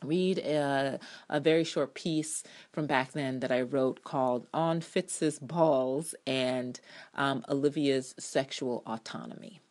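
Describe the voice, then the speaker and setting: Female reader, low pitch, delivering sardonic TV commentary as a dramatic, emphatic essay reading. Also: fairly even intonation, slow, a little mellow. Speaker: TV drama blogger reading own review aloud.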